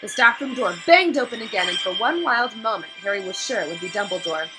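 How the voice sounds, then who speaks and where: A woman's voice: medium pitch, expressive, fairly quick, music in the background. A woman reading a children's book aloud, with casual asides to listeners. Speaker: reader of a children's read-aloud, addressing listeners.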